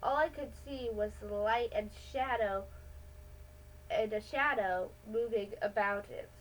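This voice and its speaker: A woman with a high-pitched voice reads a children's adventure story aloud. Her delivery is slow and halting, with small stumbles and self-corrections as she narrates. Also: low-quality recording. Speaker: amateur story reader.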